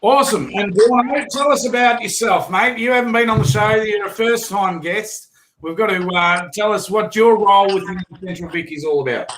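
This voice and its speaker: A man with an Australian accent hosting a casual fishing show, his voice hoarse, talking in a rambling, chatty way with many fillers and restarts. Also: high-pitched, moderate pace, crowd noise, poor audio. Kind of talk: casual live stream chat.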